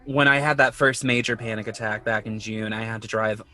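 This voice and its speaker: Casting: man to play an anxious, guarded male lead, hesitant and natural, clear-edged bright tone, music in the mix. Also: lowish voice, fairly even intonation. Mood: uneasy. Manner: hesitant, halting, self-conscious. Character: anxious, defensive man confiding about his panic. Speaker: podcast host playing himself in audio drama. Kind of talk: naturalistic conversational audio drama acting.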